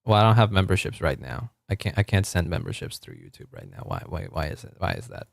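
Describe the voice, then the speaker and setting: Deep-voiced man rambling on stream. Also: wildly swooping pitch, fast talker, vocal fry, no room sound, studio quality. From Argentina. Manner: rambling, self-correcting, chuckling, reading chat aloud. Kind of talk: casual livestream chat.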